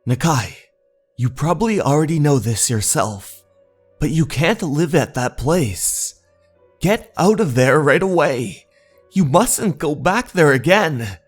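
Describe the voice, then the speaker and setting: A man's voice: on the high side, big pitch swings, moderate pace, slightly rough, faint music behind, voice pushed up, heavily acted. A man voicing an anxious, earnest young man talking urgently to his friend in a horror manga dub. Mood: urgent warning.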